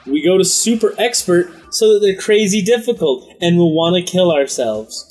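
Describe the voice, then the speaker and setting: A young man hosting a casual game let's play, excitable, laughing often and swearing freely. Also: high voice, normal speed, husky, faint music behind. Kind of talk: casual gaming chat.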